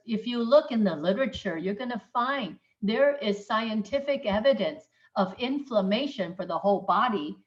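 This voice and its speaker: A woman's voice: medium pitch, muffled recording. A middle-aged woman from Taiwan, a doctor giving an informal webinar talk, speaking emphatically and checking in with her listeners. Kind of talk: informal lecture.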